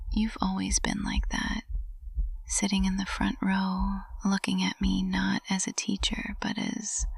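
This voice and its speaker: A woman performs a flustered witch professor whose cool composure is melting into love. Her whisper is very breathy and husky, with a heavy crackle of fry at the edges. She speaks slowly and haltingly, in the tender, intimate manner of an ASMR roleplay. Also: mid-range voice, exaggerated voice.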